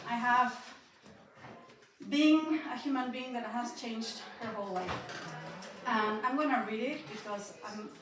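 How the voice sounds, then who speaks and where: A woman's voice: a little high, background noise. A young woman from Mexico and Austria, native in Spanish, nervously reading a personal talk aloud to an audience, her voice shaky and broken by small laughs. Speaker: speaker giving a talk. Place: event hall at a building inauguration. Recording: echoey hall, speaker far from mic.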